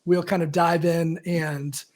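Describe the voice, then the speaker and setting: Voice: mid-range voice, little pitch movement, slow pace, darkish tone. Host coach on a live stream; rambly, filler-heavy, casual. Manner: chatty and rambling, with many fillers and self-corrections.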